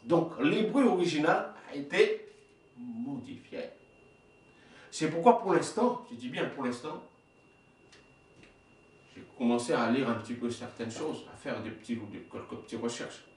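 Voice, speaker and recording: A man's voice: mid-range voice, animated, measured pace, pauses often. A man in his forties preaching in a small indoor worship room, reading passages aloud and explaining them with rhetorical questions, sometimes stumbling and correcting himself. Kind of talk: sermon reading and explaining texts. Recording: echoey room, voice from a distance.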